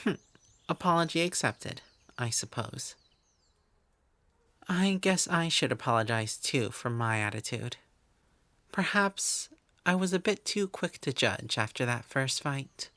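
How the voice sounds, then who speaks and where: A woman's voice: a bass voice, expressive, a little slow, long pauses, fairly bright tone, no echo, heavily acted. A woman voicing a proud female elf wizard in an audio roleplay, prim and aloof, often sighing. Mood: softening.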